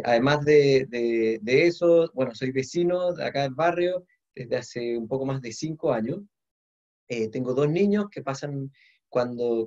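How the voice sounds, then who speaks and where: A man in his forties, originally from Paraguay, talking in a friendly, measured way with some fillers as a guest. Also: mid-range voice, a little mellow. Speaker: guest, government official. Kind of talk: casual video-call conversation. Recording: dull lo-fi call audio.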